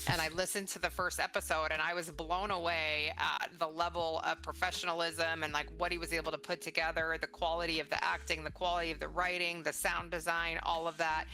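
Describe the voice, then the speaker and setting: A woman's voice: low voice, flat delivery, fairly quick, hardly any pauses, pushed and bright, low-quality recording. A middle-aged woman hosting a casual conversational interview in an enthusiastic, encouraging way. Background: faint music.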